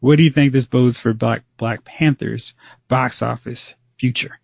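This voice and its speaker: Gravelly man hosting a movie podcast. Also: mid-range voice, steady tone, unhurried, no echo. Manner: poses long rambling questions. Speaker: podcast host. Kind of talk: casual movie podcast chat. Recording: slightly dull lo-fi audio.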